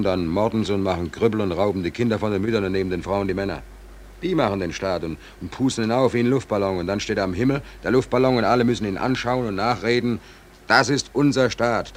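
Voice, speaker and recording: Deep-voiced, embittered seaman played by a man. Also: lightly acted. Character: embittered, hungry merchant seaman on a death ship. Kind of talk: 1940s German radio play acting. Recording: noisy 1940s radio drama recording.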